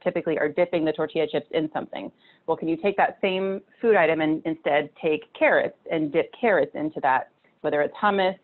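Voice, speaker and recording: A woman in her thirties giving a casual nutrition talk over slides, chatty, with many fillers and restarts, from a small room at home. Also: low-pitched. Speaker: nutrition presenter. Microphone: video call webcam. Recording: tinny phone-like video call audio.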